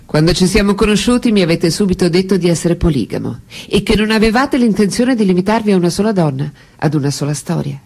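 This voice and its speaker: Radio play: longtime lover, teasing and inquisitive, husky voice, frank questioning. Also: female voice, lowish voice, lively intonation, fast, few pauses, darkish tone. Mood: probing. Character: French intellectual woman, probing, ironic longtime lover questioning her man. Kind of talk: radio drama acting, interview-like dialogue.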